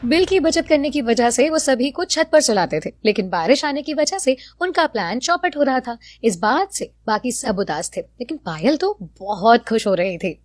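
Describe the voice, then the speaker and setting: A woman's voice: on the high side, sing-song, moderate pace, few pauses, dry recording. A woman reading scripted narration for a cartoon family story.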